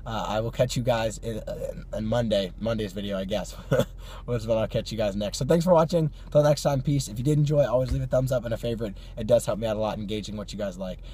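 A young man talks casually from a parked car, his voice hoarse from a cold. His tone sits low and mellow, full of fillers and small laughs. A faint hum of ambience sits behind him. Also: fast talker. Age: young adult. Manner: chatty, many "uh" fillers, laughs between phrases. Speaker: vlog host. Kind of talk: casual car vlog chat. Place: parked car at night, parking lot. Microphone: phone or camera on the dashboard.

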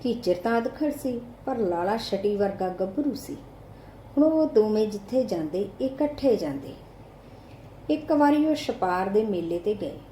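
A woman's voice: mid-range voice, lively intonation, measured pace, warm tone. A woman with an Indian Punjabi accent narrating a short story from a script, as in an audiobook. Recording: roomy echo, faint background noise.

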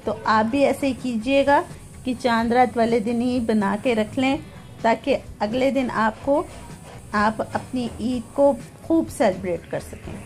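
Woman calmly guiding a home recipe. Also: fairly high pitch, faint music behind. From Pakistan. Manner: plain, homely, explains each step patiently. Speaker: cooking channel host. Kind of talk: step-by-step cooking instruction. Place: home kitchen, indoors.

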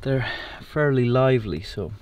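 A relaxed man chatting as he explains things step by step, his voice sitting at an ordinary middle pitch. Steady noise from the outdoors and an engine carries behind him as he records on a boat. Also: unhurried. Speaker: sailing vlogger. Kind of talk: casual narration and coaching.

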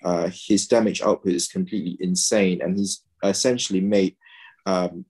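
A man's voice: sits low, steady tone, normal speed, darkish tone, slightly rough. A man in his thirties from Malaysia, talking hesitantly with many fillers as an interview guest on a video call from home. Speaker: guest, pediatrician and game player. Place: home room, indoors.

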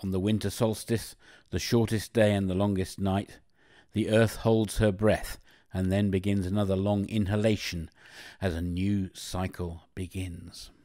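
A man's voice: low voice, little pitch movement, a little slow, warm tone, dry recording, clean studio sound. A man delivering reflective, scripted narration.

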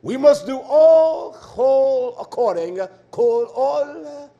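A male preacher with a strikingly high-pitched voice delivers a fiery scripture sermon. He is stern and combative, exhorting his listeners and hammering key words by repeating them. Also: lively intonation, slow pace, mellow, lo-fi sound. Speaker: preacher and Torah teacher. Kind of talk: fiery sermon with verse-by-verse exposition.

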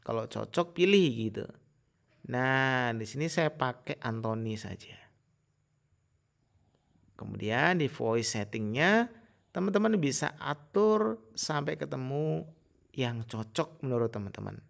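A man giving a casual, informal software tutorial in an explanatory way. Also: mid-range voice, very animated, a little slow, creaky, pauses often. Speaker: tutorial host.

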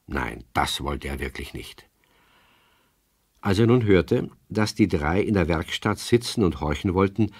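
A man narrating a children's radio play as an expressive storyteller, reading from a script. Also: lowish voice, no echo, clean studio sound.

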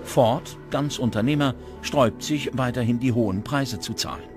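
A man's voice: medium pitch, normal speed. A man reading scripted documentary narration as a narrator. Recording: music under the voice. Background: background music.

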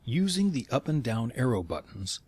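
A man narrating scripted instructions in clear, step-by-step phrasing. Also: mid-range voice, sing-song, normal speed, a little mellow.

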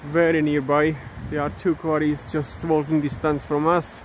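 Non-native English man in his 30s, hesitant walking-vlog host, slightly high voice, phone at arm's length, wind and stream noise behind. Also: little pitch movement, poor audio. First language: not English. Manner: hesitant, many fillers, halting pronunciation. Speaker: vlogger. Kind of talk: casual walking vlog commentary. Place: outdoors in countryside by a river. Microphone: phone held at arm's length.

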